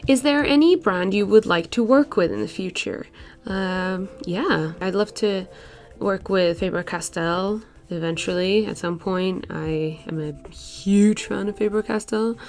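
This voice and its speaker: A woman's voice: medium pitch, unhurried, a little mellow. A young woman in her twenties whose first language is Portuguese, chatting casually over a sketching video with lots of "like" and "uh" fillers. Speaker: art vlogger.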